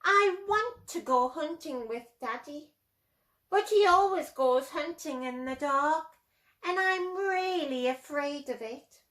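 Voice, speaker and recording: A small, timid owlet from a children's storybook, voiced by a woman. The voice is high and childlike, with a plaintive, emphatic way of talking. Each phrase comes out slowly. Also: expressive, a bit bright, exaggerated voice. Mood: polite, curious. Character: timid young boy owlet afraid of the dark.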